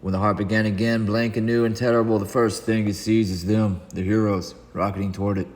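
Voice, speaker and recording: A man narrating a comic book read-along in a dramatic, solemn, theatrical way with deliberate pauses. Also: fairly low pitch, level tone, darkish tone. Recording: long hall reverb.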